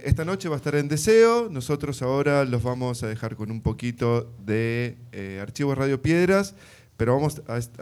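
A man's voice: mid-range voice, darkish tone, clear, resonant tone. A man with an Argentine Spanish accent hosting a live radio interview.

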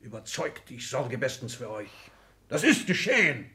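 A man's voice: mid-range voice, sing-song, moderate pace, sharp tone. A man acting in a 1960s German radio play as an ailing, domineering old industrialist, speaking in clipped, imperious declamation with sudden outbursts. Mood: stern reproach. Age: older. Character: ailing, domineering old industrialist patriarch lecturing his children.

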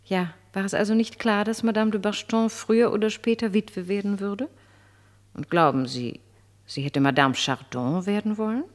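An actress in a German radio play playing a flattering, scheming French marquise with an affected, condescending manner. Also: female voice, medium pitch. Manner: affected, gracious, condescending, teasing.

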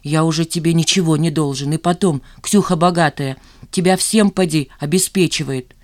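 A woman voicing a sly, self-righteous old father with a slow, drawling, needling delivery in an audiobook. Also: sits low, steady tone, darkish tone, dry recording, studio quality, close to an everyday voice. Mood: irritated, sly. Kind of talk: audiobook character dialogue.

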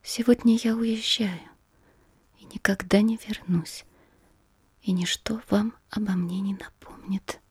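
An actress playing a grave, troubled noblewoman in an audio drama, reading aloud in a hushed, restrained way. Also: medium pitch, fairly even intonation, unhurried, breathy edge, dry recording. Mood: sorrowful, tender.

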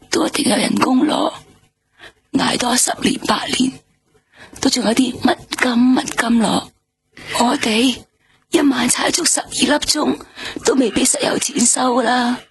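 An actress playing a weary young nightclub hostess in a radio drama, speaking Hong Kong Cantonese, tired and defensive, sometimes dropping to a whisper. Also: female voice, a little high, fairly even intonation, unhurried, pauses often, slight background noise. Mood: weary, bitter.

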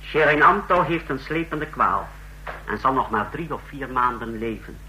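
Sharp, proud secret-service officer. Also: male voice, mid-range voice, moderate pace, rough recording. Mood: self-assured briefing. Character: proud, menacing male secret-service officer of a fascist state. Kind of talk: Dutch radio play acting. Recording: faint hum, old radio recording.

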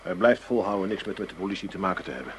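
A man's voice: low voice, lively intonation, very fast. An actor playing a wary gang henchman in a radio play, dry and matter-of-fact, on a noisy old recording.

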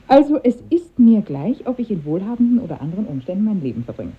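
An actress playing a lively, emotional young wife with a breathy voice in a 1950s German radio drama. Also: female voice, medium pitch, quick pace, a crowd in the background, lightly acted. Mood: amused, embarrassed. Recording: thin, muffled old radio recording.

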